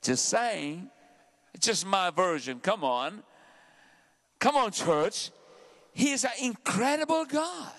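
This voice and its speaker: A South African man preaching a sermon to his church, dramatic, dropping to hushed asides and then climbing loudly. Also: high voice, expressive, takes it slowly, pauses often. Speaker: pastor.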